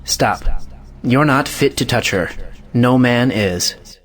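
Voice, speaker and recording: An impassioned romantic hero , acted by a man, declaims with resolve in a stage drama. His voice is medium in pitch, with faint music behind. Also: takes it slowly, a bit bright. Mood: indignant. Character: impassioned, righteous male lover defying convention. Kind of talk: audio play acting of an old stage drama.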